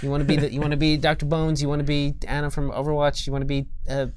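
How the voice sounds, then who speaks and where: Hesitant man with slightly high voice. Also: completely flat delivery, very fast, a little mellow, smooth. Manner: hesitant, joking. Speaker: panel guest. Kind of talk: casual chat.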